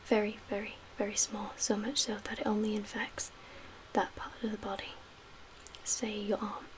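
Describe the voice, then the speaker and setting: Whispering, soft-spoken female survivor camp leader, acted by a woman. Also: medium pitch, level tone, close to an everyday voice. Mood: calm, explaining. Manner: gentle, measured, hushed. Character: calm woman leading a zombie-apocalypse survivor camp. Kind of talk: soft-spoken ASMR roleplay. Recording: clear background ambient noise.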